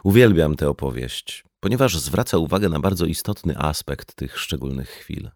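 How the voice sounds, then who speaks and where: A man reading an audiobook as scripted narration, in a measured, explanatory manner. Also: very deep voice, expressive, darkish tone, dry recording, clean studio sound. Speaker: audiobook reader.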